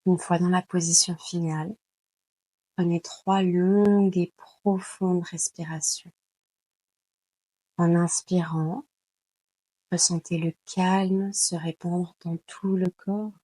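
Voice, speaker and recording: A woman guides a meditation in a lowish, husky voice. She takes her time, calmly giving soothing instructions and leaving long pauses. The recording is dry and close. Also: no echo, poor audio. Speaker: yoga nidra guide. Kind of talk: slow guided meditation narration.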